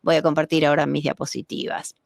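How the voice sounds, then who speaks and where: A woman with a low, gravelly voice gives a formal panel presentation on a video call. She pauses often, with fillers and restarts. Her sound is dry and close. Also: level tone, no room sound. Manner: halting, frequent fillers and restarts. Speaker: panel speaker.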